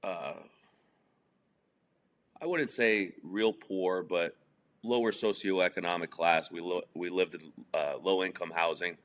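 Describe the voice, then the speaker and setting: A man's voice: low voice, fairly even intonation, measured pace, pauses often, a bit bright, slight background noise. A man from New Jersey whose first language is Spanish, telling his life story as a guest in a casual interview, chatty and full of fillers, laughing at his own jokes. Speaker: podcast guest.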